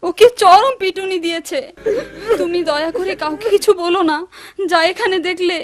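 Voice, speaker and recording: A distraught wife in a cartoon, wailing and crying in a very high voice. She sounds stricken with grief. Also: normal speed. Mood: tearful panic. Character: distraught, grieving wife in folk tale. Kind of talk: animated cartoon dub.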